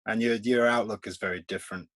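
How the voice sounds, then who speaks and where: Husky-voiced man, laughing often. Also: lowish voice, fairly even intonation, fast talker, fairly bright tone, dry recording. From England. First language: Polish. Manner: rambling, laughs often between thoughts. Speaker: comics artist guest. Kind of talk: casual remote podcast interview.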